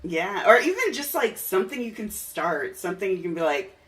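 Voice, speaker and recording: A woman's voice: mid-range voice, very animated, brisk, fairly bright tone, recorded from a distance, low-quality recording. A woman hosting a casual podcast interview in an informal, enthusiastic way.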